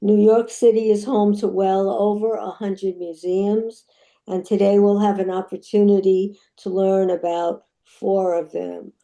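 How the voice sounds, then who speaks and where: An older woman chairing a retirees' meeting, introducing speakers slowly with many fillers over a video call. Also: medium pitch, little pitch movement, warm tone. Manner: slow, halting, with many fillers. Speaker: chapter chairperson.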